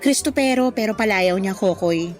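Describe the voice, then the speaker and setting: A woman's voice: medium pitch, normal speed, faint music behind, lightly acted. A young woman with a Filipino accent playing a smitten, coy woman in a radio drama, plaintive and protective of her suitor.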